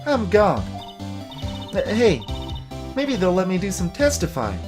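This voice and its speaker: Woman playing folksy Southern US woman, game character, sassy, touchy, stubborn, drawling, animated pitch. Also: unhurried, music in the background. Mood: sassy, defiant. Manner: sassy, drawling, colorful country sayings.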